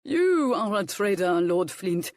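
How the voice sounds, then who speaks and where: A woman voicing a charming, sly viscount with a mild, smooth manner. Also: medium pitch, very animated, takes it slowly, darkish tone, dry recording, clean studio sound. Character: charming sly middle-aged French viscount.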